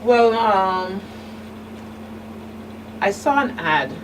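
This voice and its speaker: A middle-aged woman giving candid personal testimony as an interview guest, speaking haltingly with emphatic turns. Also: medium pitch, expressive. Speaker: guest, survivor and advocate. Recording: distant mic, noisy room hum.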